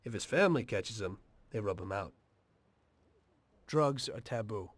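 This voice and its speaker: An actor playing a weary, pragmatic middle-aged mobster in a video game, plainspoken and measured. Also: male voice, lowish voice, animated, no echo. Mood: matter-of-fact, firm.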